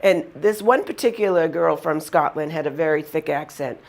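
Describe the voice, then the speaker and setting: A woman's voice: low voice, moderate pace, gravelly, a little mellow. A woman with a Boston accent telling funny personal stories to the camera in a chatty, amused way. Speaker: vlogger. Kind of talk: casual storytelling to camera.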